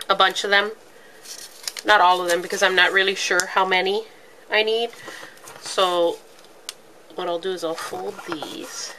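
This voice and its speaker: A woman's voice: mid-range voice, bright, forceful tone, slight background noise, low-quality recording. A woman with a stuffy, congested voice from a cold, chatting casually through a step-by-step craft tutorial with plenty of ums. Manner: chatty, lots of ums, talks while working with her hands. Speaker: craft video host.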